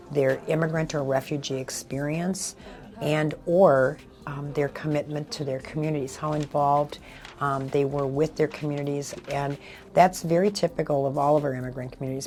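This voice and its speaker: A woman's voice: low voice, a little mellow. A woman office manager speaking thoughtfully in an interview, with frequent fillers. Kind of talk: interview talk.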